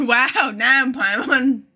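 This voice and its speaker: A woman game streamer giving casual, joking live commentary, sarcastic and quick to swear. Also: high voice, pushed and bright, faint music behind.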